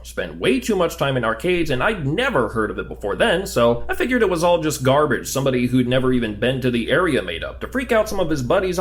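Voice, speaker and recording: A man's voice: medium pitch, lightly acted. A man playing a curious, talkative new government agent in an audio drama, conversational, questioning and easily flustered.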